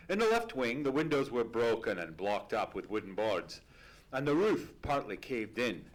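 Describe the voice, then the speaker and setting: A man playing a genial, loyal gentleman companion who also narrates, polite and literary, in a live radio play. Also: fairly low pitch, low-quality recording.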